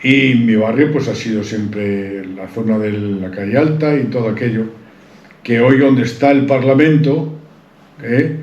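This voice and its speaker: A man's voice: mid-range voice, a little mellow, a little hiss or hum. A man tells his life story in an interview, rambling proudly with many restarts. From Santander, Cantabria, Spain. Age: 70s. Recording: roomy, dull-sounding recording.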